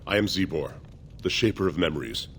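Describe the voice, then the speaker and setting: An actor playing a dignified older male dwarf archivist in a fantasy video game, speaking gravely and formally. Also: low voice, lively intonation, brisk, a bit bright, slight background noise. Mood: solemn. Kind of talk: video game character acting.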